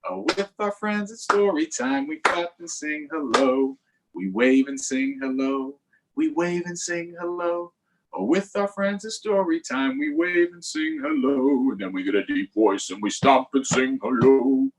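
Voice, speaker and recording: A man's voice: medium pitch, lively intonation, measured pace, low-quality recording. A man hosting a children's story time, reading aloud in a playful, sing-song way with chatty asides to viewers.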